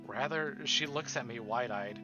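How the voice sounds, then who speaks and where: A man reading as the earnest, tender first-person narrator of a romantic visual novel, soft and measured. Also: medium pitch, lightly acted. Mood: tender, solemn. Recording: dull lo-fi stream audio under music.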